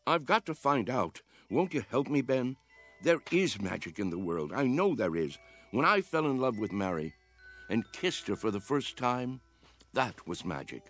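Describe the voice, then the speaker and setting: An old man speaks in a radio drama with music underneath. He is earnest and persuasive, a young-hearted dreamer who challenges and coaxes, his mid-pitched voice rising and falling freely. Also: sing-song, normal speed, fairly bright tone. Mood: pleading, wistful. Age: older. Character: old man, impassioned youthful-hearted dreamer coaxing others to play.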